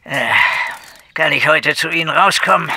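A man playing a weary, evasive police investigator in a crime radio play, gruff and blunt, with a husky, gravelly voice. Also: a little high, normal speed, sharp tone. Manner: blunt, hesitant, throat-clearing. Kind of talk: German crime audio drama dialogue.

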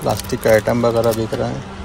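A man's voice: medium pitch, little pitch movement, a little fast, gravelly, noisy background. A young man walking viewers through a large indoor supermarket, talking casually to them on a handheld phone. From India. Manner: conversational, keeps saying "friends" to viewers. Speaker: vlogger. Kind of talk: walking tour vlog.

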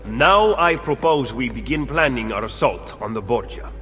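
An earnest young Roman rebel. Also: male voice, mid-range voice, animated, normal speed, bright, forceful tone, faint music behind. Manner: earnest, urgent. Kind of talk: video game cutscene dialogue.

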